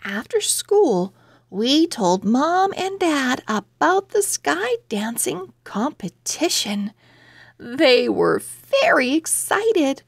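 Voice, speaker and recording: Woman reading a kids book out loud, real slow n expressive, bit creaky, dry close sound. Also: high voice, no room sound. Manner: deliberate, expressive, child-directed delivery. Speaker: children's book reader. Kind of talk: read-aloud storybook narration.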